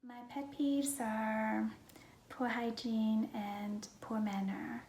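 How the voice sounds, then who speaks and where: A young adult woman from Vietnam with a Vietnamese accent, talking casually and softly with a breathy voice as she answers personal questions for her viewers. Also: fairly high pitch, slow pace. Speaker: vlogger. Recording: roomy echo, faint background noise.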